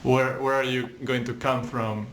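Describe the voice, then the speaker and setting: A man's voice: medium pitch, level tone, unhurried, a bit bright, slight background noise. A man in his thirties hosting an interview over a video call, asking friendly, structured questions. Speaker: host. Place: indoor room.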